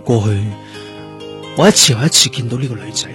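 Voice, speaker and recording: A man's voice: mid-range voice, lively intonation, fairly quick, natural voice. A man acting a grieving, introspective police detective in a Cantonese radio drama, with a Hong Kong accent and guitar music behind him. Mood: brooding. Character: brooding, grieving male police detective haunted by loss. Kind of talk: radio drama acting with first-person narration. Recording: music under the voice.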